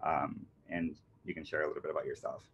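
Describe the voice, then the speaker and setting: A man's voice: extremely low pitch, little pitch movement, quick pace, fairly bright tone, a little hiss or hum. A man in his thirties who grew up in Panama, presenting a marketing webinar earnestly, with many fillers and restarts. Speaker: marketing agency founder presenting a workshop. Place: indoors on a video call with a virtual beach background. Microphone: computer mic on a video call. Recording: dull lo-fi video call audio.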